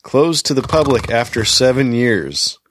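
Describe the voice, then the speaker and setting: A man's voice: medium pitch, lively intonation, a little slow, a bit bright, slight background noise. A man hosting a casual podcast, reading news items aloud and adding his own asides. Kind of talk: casual chat.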